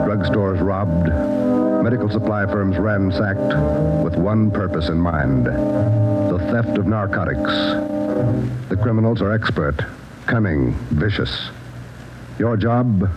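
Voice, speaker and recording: A man's voice: deep voice, animated, takes it slowly, long pauses. An American man acting as a grave, emphatic announcer in dramatic scripted 1940s radio style. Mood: grave, urgent. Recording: muffled 1940s radio recording, loud brass music.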